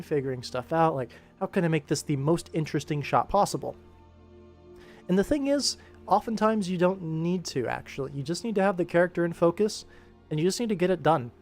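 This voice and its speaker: Casual, higher-pitched man streaming over music. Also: a little mellow. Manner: informal, explanatory, thinking aloud. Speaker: livestream host and animation director. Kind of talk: casual livestream commentary while working.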